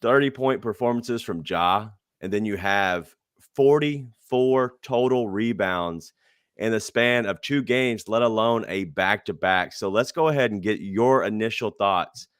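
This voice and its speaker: A man hosting a casual sports podcast, upbeat and quick to hype things up. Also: fairly low pitch, clean tone, no room sound, studio quality. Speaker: podcast host.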